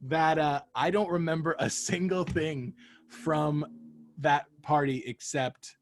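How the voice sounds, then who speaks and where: A man's voice: on the high side, steady tone, slow, slight background noise. A man in his thirties hosting a podcast, telling childhood stories in a chatty way and laughing often. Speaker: podcast host. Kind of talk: casual chat.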